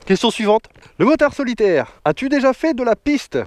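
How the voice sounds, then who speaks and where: High-voiced chatty biker man. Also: big pitch swings, brisk, a little hiss or hum. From northern France. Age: 30s. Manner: joking, chatty, with slang. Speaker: motorcycle vlogger. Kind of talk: casual Q&A vlog. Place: outdoors beside his motorbike. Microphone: action camera mic.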